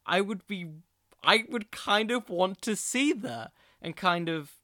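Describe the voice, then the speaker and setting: Male podcast host, higher-pitched, clean close dry sound, casual commentary, rambling, self-deprecating. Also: animated, slow, pushed and bright, no room sound.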